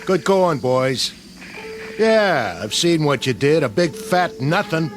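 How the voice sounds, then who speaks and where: An actor playing a weary, wisecracking army sergeant in a radio drama, talking in gruff, sarcastic slang. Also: male voice, a little high, animated, takes it slowly, soft background music. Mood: angry sarcasm.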